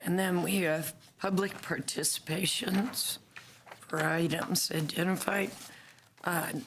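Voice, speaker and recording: A woman from the American South with a raspy voice, chairing a public board meeting in a slow, halting way. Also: fairly low pitch, steady tone, a bit bright, a little hiss or hum. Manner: slow and halting, with many fillers.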